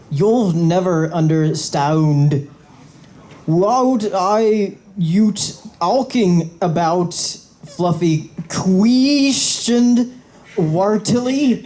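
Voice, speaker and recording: A man on a live panel reading garbled fanfiction aloud for comedy, halting and stumbling over words. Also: high voice, dark tone. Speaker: panel reader.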